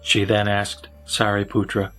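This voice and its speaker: A man with a low voice narrates a scripted sutra audio drama. His reading is formal and measured, linking the dialogue as storyteller. Music plays underneath. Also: monotone, pushed and bright.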